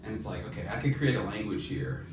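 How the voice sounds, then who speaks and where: A man's voice: fairly low pitch, big pitch swings, fast talker. A man co-hosting a podcast, chatting casually with informal, bro-ish slang. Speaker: podcast co-host; fiction writer. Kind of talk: casual chat. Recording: very echoey room, distant mic, faint noise.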